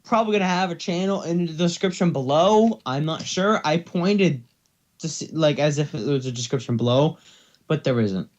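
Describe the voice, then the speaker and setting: Guy with a slightly high voice just chatting, kinda rambly, slightly dull lo-fi mic. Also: normal speed. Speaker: co-host of a fan fiction reading channel. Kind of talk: casual chat.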